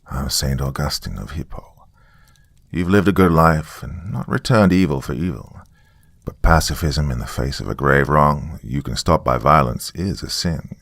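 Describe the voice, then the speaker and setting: A very deep, solemn male angel acted out by a male narrator speaks in grave pronouncements. He sounds commanding, and the recording is dry and close, like an audio story. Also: normal speed, gravelly, a bit bright, dry recording, full character voice.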